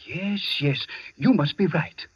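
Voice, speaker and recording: An actor playing a simple, talkative, puzzled jail attendant with a husky voice in a 1940s radio drama. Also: male voice, fairly high pitch, slow pace, dry recording, full character voice. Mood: matter-of-fact. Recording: dull vintage 1940s radio.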